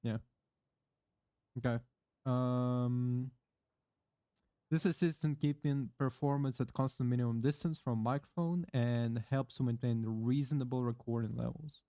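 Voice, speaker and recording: A man streaming from his home setup, reading a product manual aloud haltingly into a close condenser microphone, with many fillers and offhand swearing. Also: mid-range voice, fairly even intonation, warm tone, no room sound. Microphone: condenser microphone with pop filter, close.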